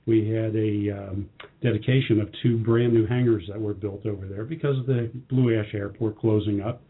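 Slightly husky man in conversation. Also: lowish voice, fairly even intonation, normal speed. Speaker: company president, interview guest. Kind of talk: conversational interview answers. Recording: muffled, band-limited lo-fi audio.

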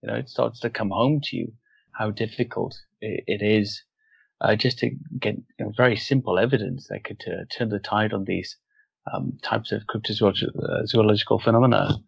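A man who grew up in Wales, without a Welsh accent, talking as a guest in a casual interview and pausing thoughtfully to find his words. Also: lowish voice, steady tone, creaky, breathy edge, dry recording. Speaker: guest author. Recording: dull, dry lo-fi audio.

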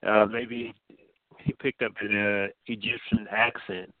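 A man's voice: lowish voice, level tone, measured pace, no room sound. A man preaching an informal sermon, talking conversationally with frequent fillers and restarts. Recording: dry, tinny phone-like sound.

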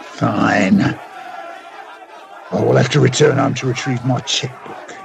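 A man playing a brutal, sneering, menacing villain in a radio play, with a gravelly voice. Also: medium pitch, fairly even intonation, slow, a crowd in the background, background noise. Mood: surly.

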